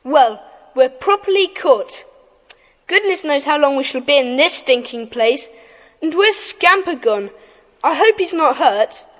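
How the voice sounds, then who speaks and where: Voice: sits high, animated, a little slow. An actor playing a bold, earnest schoolboy gang leader in a children's audio drama. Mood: worried. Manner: earnest, commanding, urgent. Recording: echoey hall reverb.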